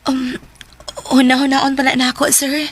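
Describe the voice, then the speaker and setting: A woman's voice: sits high, little pitch movement, moderate pace. A woman playing an outspoken, curious young working woman in a Bisaya radio drama, with a blunt questioning manner and a Filipino accent. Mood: hesitant.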